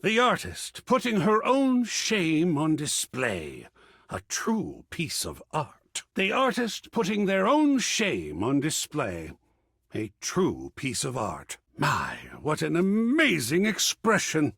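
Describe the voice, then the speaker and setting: An actor playing a flamboyant, gushing, art-obsessed living artwork in a video game, with a theatrical, affected delivery that savours each word. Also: male voice, fairly high pitch, huge pitch swings, slow pace, creaky, a bit bright, breathy edge, no echo, clean studio sound, full character voice. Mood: reverent, appraising.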